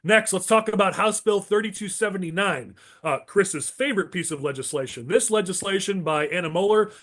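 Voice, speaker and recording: A male podcast host steers a political panel in a brisk, partisan way. His voice sits a little high and is wearing thin from talking. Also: a bit bright. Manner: brisk, partisan, moves through agenda. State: losing his voice.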